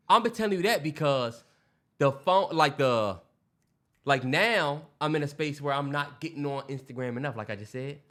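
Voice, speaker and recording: A young man rambling through casual podcast talk, restarting his sentences and swearing often. His voice is pitched a bit higher than most men's. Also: animated, moderate pace, fairly bright tone. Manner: loose, rambling, profane, trailing restarts. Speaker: podcast co-host. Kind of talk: casual chat.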